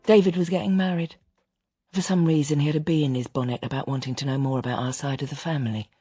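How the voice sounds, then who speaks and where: A man's voice: a little high, fairly even intonation, fast, mellow, breathy edge, no echo, soft background music. An actor playing a reflective grown son, confiding wistful memories of an absent father in an intimate radio drama monologue. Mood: wry, reminiscing. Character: reflective adult son recounting his estranged father.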